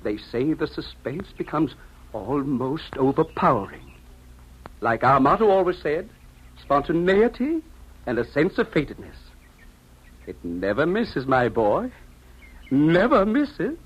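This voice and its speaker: A man's voice: fairly high pitch, sing-song, measured pace, long pauses, a little hiss or hum. An actor playing a brash, slick salesman in an old-time radio drama, with breezy, boastful patter. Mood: boastful pitch. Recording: muffled vintage radio recording.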